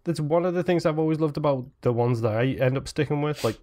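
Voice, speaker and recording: A man's voice: on the high side, fast talker, dark tone. A man co-hosting a gaming podcast in casual, conversational chat. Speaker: podcast co-host.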